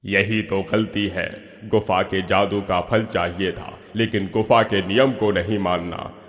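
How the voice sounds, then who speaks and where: A man voicing a stern, punishing supernatural sky voice with slow, deliberate pronouncements in a cartoon story. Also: deep voice, fairly even intonation, in a large hall. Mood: stern, menacing.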